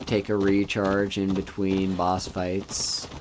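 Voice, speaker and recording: A man streaming a video game, chatting casually in a relaxed, soft-spoken and musing way as he plays. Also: low-pitched, slow pace, background noise. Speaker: VTuber streamer. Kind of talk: casual gameplay commentary. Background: game music.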